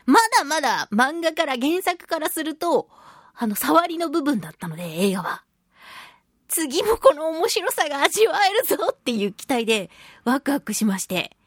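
A woman hosting a casual radio talk show, with a fairly high voice that swings widely in pitch. She speaks expressively and emphatically, chatting straight to her listeners in a close, dry recording. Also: very animated, normal speed, dry recording. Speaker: radio show host and voice actress.

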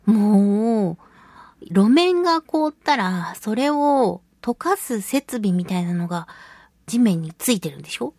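A woman's voice: mid-range voice, very animated, a little slow, warm tone, dry recording. A woman hosting a casual solo radio talk, chatting in a playful manner. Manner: playful, chatty, laughs often. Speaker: radio show host and voice actress.

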